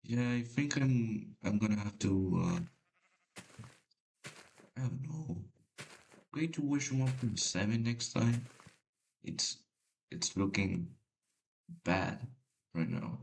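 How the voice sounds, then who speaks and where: A man whose first language is Spanish, giving casual, halting gameplay commentary with a deep voice and many fillers. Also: vocal fry. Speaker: gamer.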